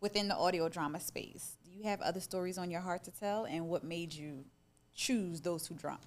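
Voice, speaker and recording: A woman's voice: fairly low pitch, normal speed. A woman hosting a casual live interview, encouraging her guest with affirming interjections and conversational questions. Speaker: interviewer, host.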